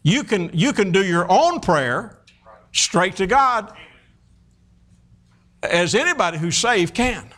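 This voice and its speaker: Man preaching, fifties, folksy, high voice, swooping pitch. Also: takes it slowly, long pauses. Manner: folksy, animated storytelling with many restarts and fillers. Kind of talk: sermon.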